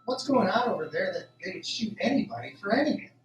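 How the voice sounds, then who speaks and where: Radio drama: actor as earnest young male newcomer, high voice, hesitant, questioning, in a roomy space. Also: very animated, fast. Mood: alarmed, questioning. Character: curious, earnest young newcomer man on a farm. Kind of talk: amateur radio drama dialogue. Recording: roomy, distant mic, faint noise.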